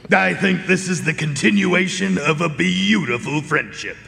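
A man playing a friendly, clever detective's dog sidekick with a gravelly voice in a live radio drama. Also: sits high, normal speed, pushed and bright, exaggerated voice. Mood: warm, contented. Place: performance hall stage. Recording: reverberant concert hall stage.

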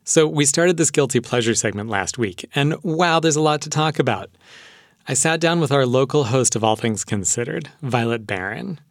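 A man's voice: mid-range voice, moderate pace, no room sound, studio quality. A man hosting a public radio show, speaking conversationally as an interviewer.

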